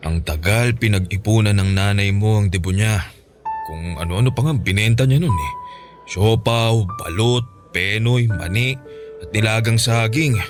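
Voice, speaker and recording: A man with a Filipino accent playing a calm, teasing, henpecked middle-aged father in a radio drama. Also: fairly low pitch, unhurried, over music, natural voice. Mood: nostalgic.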